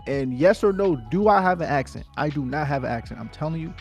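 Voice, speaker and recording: A man's voice: fairly high pitch, expressive, fast, vocal fry, soft background music. A man with a New Jersey accent co-hosting a casual podcast, talking insistently and repeating himself to make his point. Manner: insistent, repeats "I'm telling you, bro". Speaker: podcast co-host.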